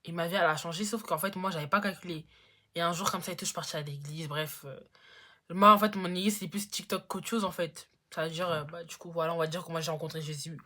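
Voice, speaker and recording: A woman's voice: fairly low pitch, fairly even intonation, fast, bright, forceful tone. A teenage girl in a casual Q&A vlog, chatty and slangy, full of fillers. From Congo-Brazzaville. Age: teens. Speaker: YouTuber.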